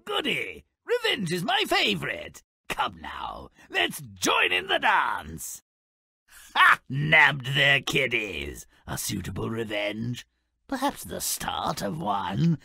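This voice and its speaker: An actor playing a gleefully malicious, sardonic little fairy-tale troublemaker in a video game, with a raspy, gravelly voice and a theatrical, gloating delivery. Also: male voice, lowish voice, dry recording, full character voice. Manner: sardonic, gloating, theatrical, swings from murmur to shout. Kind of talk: theatrical scripted game narration.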